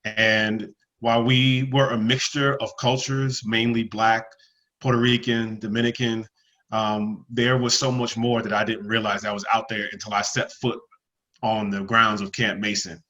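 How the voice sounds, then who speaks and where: A man's voice: on the low side, flat delivery, normal speed, bright, forceful tone. A man, introducing himself as a panelist on a video call. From New Jersey, USA. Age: 40s. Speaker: panelist, longtime program alumnus. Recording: dull, lo-fi video call audio.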